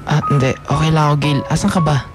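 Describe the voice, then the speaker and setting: A man's voice: a little high, fairly even intonation, fast talker, loud music behind the voice, natural voice. A man acting a resentful, defiant son of about twenty-one in a radio drama, curt and mixing English into his Filipino. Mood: tense. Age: young adult.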